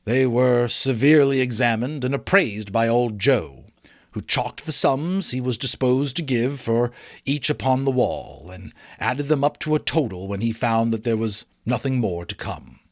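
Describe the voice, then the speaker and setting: A man reading an audiobook as dramatic storybook narration, in a theatrical, expressive manner. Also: medium pitch, moderate pace, no room sound, studio quality. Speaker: audiobook reader.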